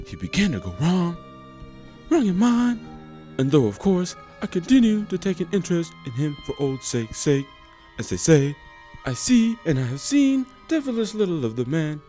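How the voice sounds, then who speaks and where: A man's voice: sits high, big pitch swings, normal speed, dark tone, soft background music. A man playing a testy, blustering old doctor in a radio play, with theatrical exclamations. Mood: indignant, blustering. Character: blustery, testy old male doctor, jovial then indignant.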